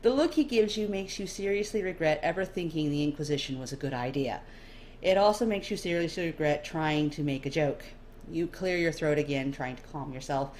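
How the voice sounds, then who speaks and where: Amateur audiobook narrator, female, low register, expressive fiction read, slight background noise. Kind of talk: expressive scripted second-person story narration.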